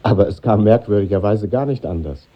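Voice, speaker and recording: An actor playing a middle-aged man who soberly recalls his old classmates in a radio play, in a husky, measured, matter-of-fact voice. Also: fairly low pitch, lightly acted. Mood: sober, reflective. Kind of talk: 1950s radio drama narration. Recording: muffled 1950s radio recording.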